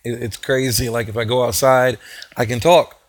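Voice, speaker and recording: A man with a hoarse voice from a cold, talking casually to his viewers as a channel host, rambling with many fillers and restarts. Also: medium pitch, steady tone, normal speed. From Texas. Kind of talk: casual talk to camera.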